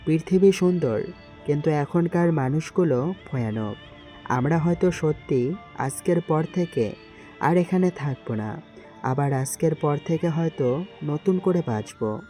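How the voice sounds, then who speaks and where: A man playing a calm, cryptic young secret gang boss in an audio story, in a mellow voice. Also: fairly high pitch, a little slow, soft background music. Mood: calm, fatalistic.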